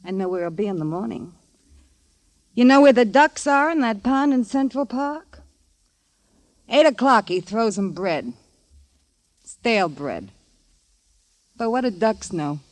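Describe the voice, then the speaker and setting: Jaded noir dame, high-pitched, slangy, tough, knowing, old radio drama, close dry sound. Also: female voice, very animated, a little slow, long pauses, dry recording. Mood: sly, knowing. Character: jaded, possessive woman, murdered dance hall girl's roommate. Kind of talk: 1950s radio noir acting.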